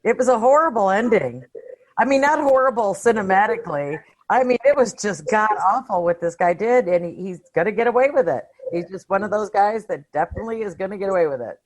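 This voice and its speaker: A woman's voice: mid-range voice, expressive, brisk. A middle-aged woman chatting informally about a film as a podcast co-host, given to anecdotes. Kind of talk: casual film discussion.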